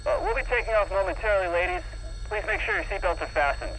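An actor playing a polite, matter-of-fact helicopter pilot in an audio drama, courteous and procedural. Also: male voice, high-pitched, wildly swooping pitch, quick pace, fairly bright tone, full character voice, rough recording. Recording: faint aircraft noise behind.

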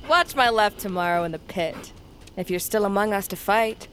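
A composed, wry young huntress. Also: female voice, mid-range voice, big pitch swings, background noise. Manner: measured, wry, formal archaic phrasing. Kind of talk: dramatic fantasy audio drama acting.

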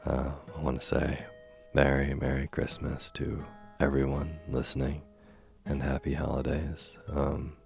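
A man hosting a sleep podcast and reading a bedtime story softly, slowly and soothingly, while he is losing his voice. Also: a bass voice, monotone, creaky. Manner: slow, gentle and soothing. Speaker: sleep podcast host and reader. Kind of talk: soft bedtime story narration. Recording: faint music behind.

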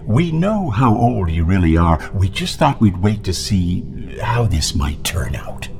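An actor playing a creepy, menacing male town elder who speaks in a slow, insinuating way. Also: deep voice, very animated, noisy background, exaggerated voice.

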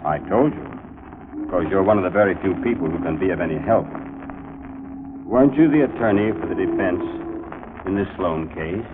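Man playing deep, ominous cemetery night watchman. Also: narrow, tinny audio, natural voice. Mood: calm, insistent. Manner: measured, calm, ominous, unhurried. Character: grim, cryptic male night watchman at a cemetery. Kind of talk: 1940s radio mystery acting. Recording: old 1940s radio broadcast, thin, faint noise.

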